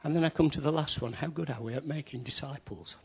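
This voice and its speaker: Male lay preacher, fairly high husky voice, chatty with wry asides, muffled low-fi recording. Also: fast talker. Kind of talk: conversational sermon. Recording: dull lo-fi recording.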